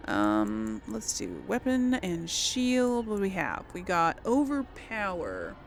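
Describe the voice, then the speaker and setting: Casual woman gamer, clear background noise. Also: mid-range voice, huge pitch swings, slow pace. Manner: informal thinking aloud. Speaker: gaming video host. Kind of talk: casual game commentary.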